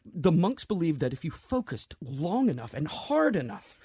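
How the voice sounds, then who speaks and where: An actor plays a grieving man in an audio drama, gentle and weighed down by guilt. His husky voice is close and dry. He speaks haltingly and earnestly, as if explaining something impossible. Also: a little high, sing-song, no echo, heavily acted.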